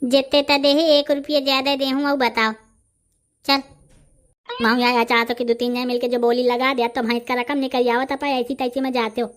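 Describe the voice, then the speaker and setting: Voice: high voice, little pitch movement, normal speed, fairly bright tone. An actor with a Bundelkhandi accent voicing a brash, argumentative village man haggling in a comedy cartoon. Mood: boastful, haggling.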